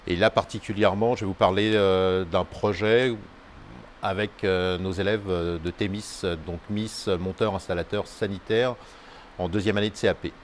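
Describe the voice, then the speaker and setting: A male vocational teacher speaks in a lowish voice, stopping often on filler sounds. Faint room ambience sits behind him. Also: fairly even intonation, normal speed, a little hiss or hum. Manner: hesitant, many fillers. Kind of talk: school video interview.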